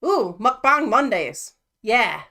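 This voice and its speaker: A woman's voice: on the high side, big pitch swings, slow pace, bright, forceful tone. A woman streamer giving blunt, profane, exasperated reaction commentary.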